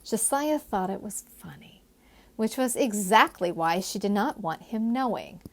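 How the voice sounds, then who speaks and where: A woman's voice: mid-range voice, lively intonation, measured pace, vocal fry. A woman narrating a historical story as an author reading her own novel. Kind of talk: expressive audiobook narration.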